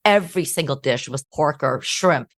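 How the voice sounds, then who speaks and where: A middle-aged woman from the United States, a guest telling family stories in an interview, with lively acted quotes. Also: deep voice, moderate pace, no echo. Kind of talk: interview storytelling.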